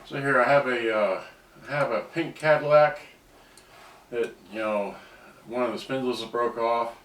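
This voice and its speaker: A man's voice: mid-range voice, steady tone, unhurried, a bit bright. A man giving a casual hands-on product demonstration, rambling and often trailing off mid-sentence, picked up by a distant microphone. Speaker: cassette player restorer presenting his own items. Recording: roomy, distant microphone with background noise.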